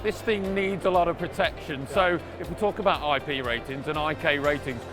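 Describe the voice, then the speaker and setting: A man's voice: fairly high pitch, a little fast, music in the background. A man presenting a conversational product interview, chatty and repeating words as he frames his questions.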